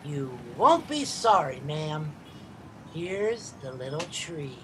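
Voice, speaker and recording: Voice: medium pitch, big pitch swings, takes it slowly, bright, forceful tone, slight background noise, full character voice. An actor playing a cunning young boy who peddles with earnest, wheedling patter in a radio comedy. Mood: cheeky.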